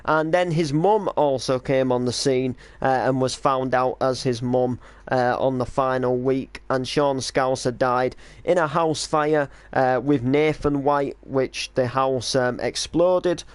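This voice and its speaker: A man's voice: medium pitch, fairly even intonation, slow pace, clean tone, dry recording. A man reminiscing casually on a stream as the creator of an online drama, explaining storylines in an informal, rambling way.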